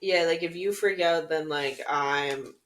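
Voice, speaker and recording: A man with a fairly high voice co-hosts a candid podcast chat. He talks calmly and practically, offering steady advice in a measured tone. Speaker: podcast co-host.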